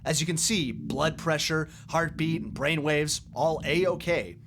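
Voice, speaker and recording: A man playing an eccentric, lonely mad scientist and loyal friend in an amateur audio drama, excitable and halting when he confides. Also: on the high side, background noise, lightly acted. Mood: brisk, upbeat.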